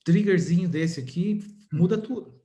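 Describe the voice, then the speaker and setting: Man, from Brazil, marketing co-host, mellow dark voice, relaxed replies. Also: sits high, normal speed, dull audio. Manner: relaxed, brief agreeing replies. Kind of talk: casual podcast chat.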